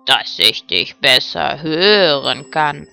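A woman's voice: deep voice, expressive, slow, vocal fry, pushed and bright, faint music behind, voice pushed down, full character voice. A woman voicing a sly, hungry male wolf in a fairy tale, wheedling and emphatic, drawing words out when threatening. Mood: menacing, hungry. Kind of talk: fairy-tale storybook reading.

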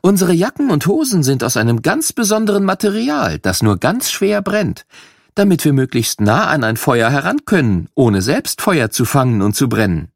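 A man's voice: fairly high pitch, sing-song, moderate pace, creaky, no echo, studio quality, voice pushed up, exaggerated voice. A man voicing a female firefighter who patiently and instructively explains her gear to children in an audio story.

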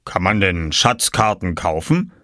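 A man voicing a kindly, thoughtful farmer in a measured, pondering manner for a children's storybook. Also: low voice, very animated, sharp tone, dry recording, clean studio sound, exaggerated voice. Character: kindly, thoughtful, gently amused male farmer with stubble.

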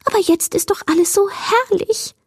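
A woman's voice: extremely high pitch, normal speed, no room sound, voice pushed up. A woman voicing a small, skittish will-o'-the-wisp in a bedtime story, stammering when scared and gushing when happy. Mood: enthusing. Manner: stammering, trembling at first, then gushing. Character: timid, then giddy little will-o'-the-wisp.

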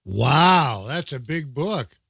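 A man in his early seventies asks questions in an easygoing, friendly way. His voice sits a bit higher than most men's. The recording is dry and close, with no room sound. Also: big pitch swings, takes it slowly, vocal fry, sharp tone, slightly rough, no echo. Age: 70s. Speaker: interviewer, host. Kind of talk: casual interview chat.

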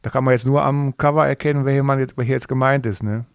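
An older man co-hosting a podcast in casual chat, a record collector who answers calmly and matter-of-factly. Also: medium pitch, quick pace, clean tone, dry recording, clean studio sound.

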